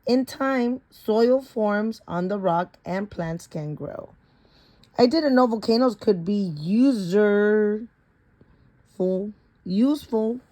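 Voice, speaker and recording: Slow-paced woman reading a picture book aloud. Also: medium pitch, mellow. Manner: stumbles over harder words and corrects herself. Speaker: story reader.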